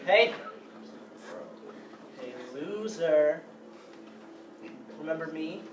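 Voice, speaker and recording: Man acting as cruel stage bully, high voice, drawn-out taunts, echoey distant noisy room. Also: lo-fi sound. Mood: mocking. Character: taunting, cruel bully, jeering tormentor in the mind. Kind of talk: staged play reading. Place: meeting room staged reading, distant camera. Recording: echoey room from far off, clear noise.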